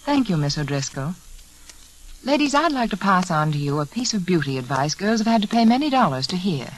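A woman with a slightly low voice reads a 1940s radio commercial. She is measured, earnest and persuasive, over faint recording noise. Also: very animated, rough recording. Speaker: beauty adviser in sponsor advertisement.

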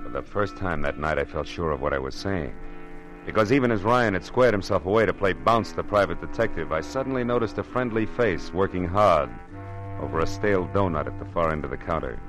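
An American actor playing a tough, wisecracking private detective in a 1940s radio noir, slangy and sardonic. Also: male voice, low-pitched, expressive, fairly quick, music in the background, poor audio. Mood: grim narrating.

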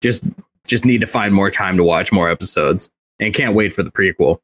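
Deep-voiced man, very dry sound. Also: moderate pace, fairly bright tone, no room sound. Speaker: podcast co-host. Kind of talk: casual chat.

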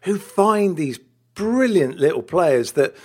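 Guy on a footy podcast, fairly high voice, keeps laughing, repeats himself a lot while thinking. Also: expressive, slow pace, darkish tone. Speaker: football podcast co-host. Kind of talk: casual chat.

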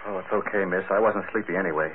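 A man's voice: low-pitched, steady tone, quick pace, lightly acted. An actor playing a stubborn, plain-spoken family man in 1940s radio drama style. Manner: plain, easygoing, slangy. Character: stubborn, plain-spoken ordinary husband, crime witness. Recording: tinny, noisy old radio broadcast.

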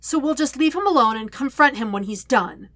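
A woman's voice: high-pitched, lively intonation, fairly quick, a bit bright, dry recording, voice pushed up. A woman voicing a stubborn, scornful young woman in a story reading, sarcastic and curt.

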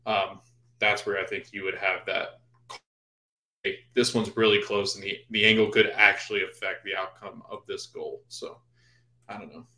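A man co-hosting a casual sports podcast, thinking out loud in loose, rambling sentences. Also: lowish voice, fairly even intonation, moderate pace. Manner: rambling, full of fillers and restarts. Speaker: podcast co-host. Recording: roomy echo.

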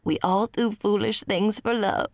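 A woman playing a gentle, gravelly-voiced group member. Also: medium pitch, steady tone, a little slow, dry recording, studio quality, lightly acted. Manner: gentle, consoling. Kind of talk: audio drama acting.

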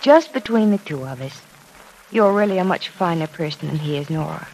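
An actress playing a kind young woman in a 1940s radio drama, reassuring and gently teasing. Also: fairly low pitch, expressive, a little fast, gravelly, slight background noise, low-quality recording.

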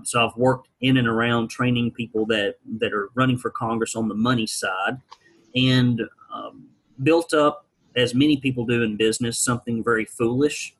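Husky guy, folksy and wry, telling his story to an interviewer. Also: medium pitch, steady tone, normal speed, fairly bright tone, poor audio. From rural Alabama. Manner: wry, folksy, blunt humor. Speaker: guest, business founder.

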